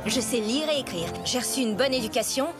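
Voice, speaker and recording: A woman's voice: a little high, fairly quick, lightly acted. A woman voicing a clumsy, eager young woman in a cartoon, apologetic and earnest, with music behind. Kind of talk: animated cartoon voice acting.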